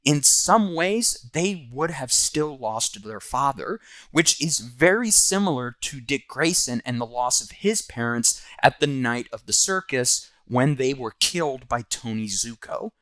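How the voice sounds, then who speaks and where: A man's voice: medium pitch, lively intonation, unhurried, a bit bright, no echo. A man hosting and narrating a scripted comic book review.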